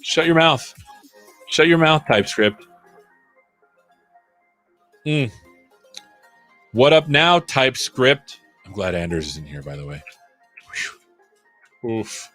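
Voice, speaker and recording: A man hosts a developer livestream, working through code live and talking it out as he goes. His voice sits at an ordinary middle pitch, and he often drops to a mutter when talking to himself. Soft music plays faintly behind him. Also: lively intonation, slow, long pauses. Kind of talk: live coding, thinking aloud.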